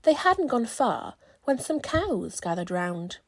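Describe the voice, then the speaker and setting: A woman's voice: on the high side, sing-song, slow, no echo. A woman reading a rhyming children's story aloud as scripted narration. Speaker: storybook reader.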